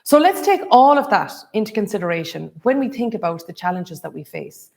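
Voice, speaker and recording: A woman's voice: medium pitch, sing-song, a little fast, vocal fry, darkish tone. A woman moderating a panel, speaking emphatically with rhetorical questions. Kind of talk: scripted panel introduction.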